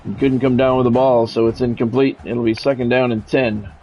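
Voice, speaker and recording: A man's voice: mid-range voice, level tone, a little fast. Male American sports commentator, clipped play-by-play with offhand asides, lo-fi audio over music. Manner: clipped announcer phrasing with casual asides. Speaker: play-by-play streamer. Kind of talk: live sports play-by-play commentary of a football video game.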